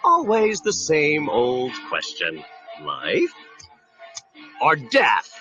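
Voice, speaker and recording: Casting: menacing split-personality crime boss, bright forceful delivery, audio drama over music. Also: male voice, fairly high pitch, huge pitch swings, very slow, lo-fi sound, exaggerated voice. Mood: brooding menace.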